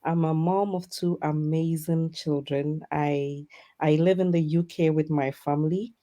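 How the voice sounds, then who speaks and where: A woman talks casually as an interview guest. Her voice is rather low and very mellow, with a relaxed, soft-edged tone. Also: a little slow. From Ghana. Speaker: guest, digital business mentor.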